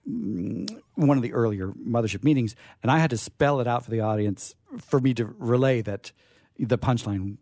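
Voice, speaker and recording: A man hosting a radio show, making effusive, halting, repetitive introductions and comments off the cuff. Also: mid-range voice, expressive, darkish tone, no echo, clean studio sound.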